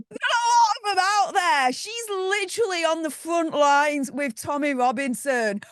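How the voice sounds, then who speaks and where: A woman's voice: sits high, a bit bright, no echo. A woman with a Yorkshire accent speaking bluntly and indignantly as a guest on a political panel. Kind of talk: heated political panel talk.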